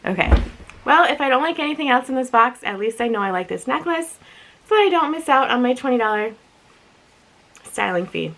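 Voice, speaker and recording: A woman with a slightly raspy voice, chatting casually and thinking aloud as she presents an unboxing video with giggly asides. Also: high voice, animated, fairly quick, pauses often, a bit bright, a little hiss or hum, rough recording. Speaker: unboxing and review vlogger.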